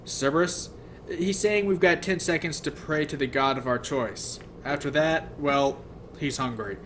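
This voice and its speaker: A man reader puts on a nervous male satyr from a storybook. He gives hesitant, urgent warnings in a slightly raised voice, recorded with clear background noise. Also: fairly high pitch, measured pace, fairly bright tone.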